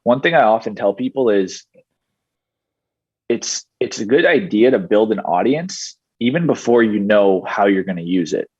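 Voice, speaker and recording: Husky-voiced man in his twenties. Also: on the low side, no room sound. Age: 20s. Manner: steady, explanatory, measured. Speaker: guest, digital marketing entrepreneur. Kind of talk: podcast interview answers. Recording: dull, muffled podcast audio.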